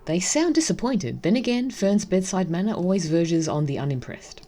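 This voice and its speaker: Low, mellow woman presenting a game. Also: lively intonation, moderate pace. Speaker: gaming video host. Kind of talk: casual game commentary and read-aloud narration.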